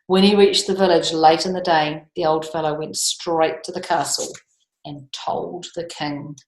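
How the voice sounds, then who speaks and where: A woman storyteller reading a children's book aloud with expressive, lilting emphasis. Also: low voice, measured pace. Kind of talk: storybook read-aloud with chatty asides. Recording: echoey room.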